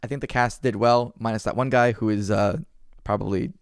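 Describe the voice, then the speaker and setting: A man's voice: fairly low pitch, steady tone, fairly quick, clear, resonant tone, no room sound, studio quality. A man with a stammer co-hosting a podcast in casual, emphatic, sweary chat. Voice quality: has a stammer. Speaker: podcast co-host.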